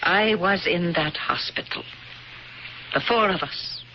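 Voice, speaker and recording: A grim, determined woman out for vengeance, speaking with deliberate, bitter sarcasm and formal words. It is 1970s radio drama, dull in tone with faint hiss behind it. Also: on the low side. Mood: bitter, haunted. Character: grim, determined woman avenging her murdered family. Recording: dull, noisy old radio broadcast.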